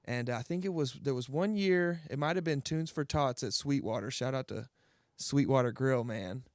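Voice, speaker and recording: A man's voice: mid-range voice, normal speed, no room sound, studio quality. A man hosting an interview in a laid-back, upbeat way, full of casual chatter. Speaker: interview host.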